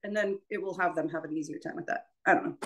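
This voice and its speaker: A woman with a slightly low voice teaches in an informal, conversational way. She sounds tentative and self-deprecating, often letting her explanations trail off. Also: fast talker, fairly bright tone. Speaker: voice teacher leading a workshop.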